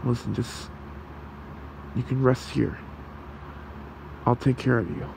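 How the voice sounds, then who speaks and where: A man voicing a gentle, protective fox creature in an ASMR roleplay, with a soothing, halting, gravelly delivery. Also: mid-range voice, fairly even intonation, noisy background. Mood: caring. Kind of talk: ASMR roleplay, soft comforting talk.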